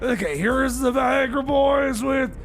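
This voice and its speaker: Very high, creaky, flustered man playing a radio DJ. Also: shouting, a little hiss or hum, heavily acted. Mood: hesitant, resigned. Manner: halting, stammering, unsure. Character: flustered hapless male time machine mechanic stand-in DJ. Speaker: radio show DJ. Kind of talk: comedy radio theater, rambling DJ patter.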